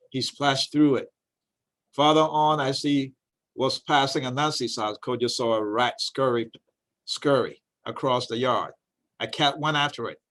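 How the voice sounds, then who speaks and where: A man reading a story aloud in an expressive, folksy way, with chatty asides as a storyteller. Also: mid-range voice.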